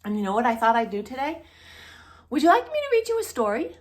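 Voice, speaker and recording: A woman hosting a read-aloud storytime for young children, speaking in an animated way as if straight to the child listening. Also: high-pitched, fast. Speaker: children's storytime host reading a picture book aloud.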